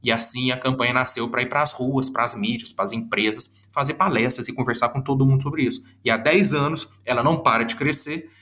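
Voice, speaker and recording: A man's voice: mid-range voice, brisk, few pauses, bright, forceful tone. A man from Minas Gerais, Brazil, with the accent of the region, answering interview questions in an earnest, lecture-like way and reeling off long lists of words. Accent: Minas Gerais Brazilian Portuguese. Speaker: guest, psychologist and speaker.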